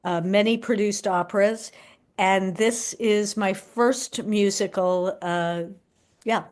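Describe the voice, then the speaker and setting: Slow-talking woman. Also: mid-range voice, steady tone, a little mellow. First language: French. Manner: measured and thoughtful with fillers. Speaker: guest, playwright and librettist. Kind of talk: casual interview answers.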